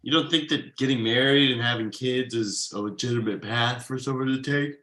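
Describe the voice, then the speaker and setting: A man playing an exasperated, uptight workaholic father with halting, sardonic delivery in a comedy table read. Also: mid-range voice, bright, forceful tone, close to an everyday voice. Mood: aggrieved.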